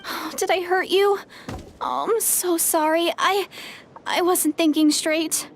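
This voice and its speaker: A woman's voice: extremely high pitch, steady tone, slow pace, a little hiss or hum. A woman voicing a jumpy, needy young girl in an anime-style video game, hesitant and flustered, with a pleading manner. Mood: panicked apology.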